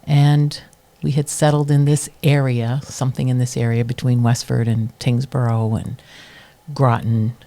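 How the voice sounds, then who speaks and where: A woman's voice: very deep voice, flat delivery, measured pace, dark tone. A middle-aged woman from New Hampshire, a committee chair, answering interview questions casually and thoughtfully, with many ums and restarts.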